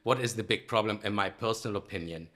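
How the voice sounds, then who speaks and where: Middle-aged man with a German accent, crisp bright tone, hesitant guest who pauses and fills often. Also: fairly low pitch. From Galicia, Spain, raised in Germany. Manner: hesitant, many ums and uhs. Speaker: guest lawyer. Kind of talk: casual interview talk.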